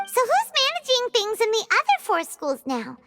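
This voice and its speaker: Game fairy companion: tiny, nosy, excitable; extremely high squeaky child voice, very animated delivery. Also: female voice, normal speed, exaggerated voice. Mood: curious. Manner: exclamatory, speaks of self in third person. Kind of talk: video game voice acting. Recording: music behind the voice.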